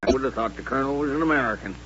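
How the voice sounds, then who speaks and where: An actor playing a plain-spoken, wry frontier barkeep with a folksy way of talking in an old-time radio western. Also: male voice, mid-range voice, very fast, a bit bright, lightly acted. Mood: puzzled, gossipy. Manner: folksy, colloquial. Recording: dull, noisy, humming old radio recording.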